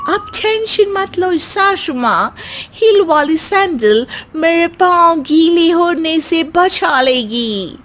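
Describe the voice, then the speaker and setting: A woman's voice: extremely high pitch, animated, slow, fairly bright tone, slight background noise, exaggerated voice. A woman voicing a cheeky, naive young city bride with a pert, self-assured manner in a comic cartoon. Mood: pert, confident. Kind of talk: Hindi cartoon story acting.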